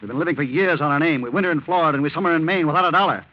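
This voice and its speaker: A man's voice: fairly high pitch, very fast, natural voice. An actor playing a cocky young male blackmailer in 1940s radio drama style, slick, taunting and falsely reassuring. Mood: brazen, scheming. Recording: muffled, noisy old radio recording.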